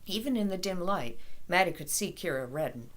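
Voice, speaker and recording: A woman author reading a children's story aloud in an expressive storytelling style. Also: on the low side, brisk.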